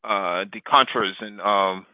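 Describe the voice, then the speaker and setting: A man's voice: on the low side, takes it slowly, dry recording. A man rambles through a political chat, stacking up fillers and tossing in crude jokes and curses. His voice comes through dry and thin, with the narrow sound of a phone line. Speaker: podcast co-host. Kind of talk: casual political discussion.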